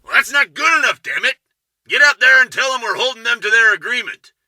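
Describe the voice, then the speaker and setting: Guy doing a loud furious boss yelling, high strained shouty voice, hard sharp edge. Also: lively intonation, brisk, no room sound, exaggerated voice. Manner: roaring, barking orders. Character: furious bellowing male boss, foul-mouthed.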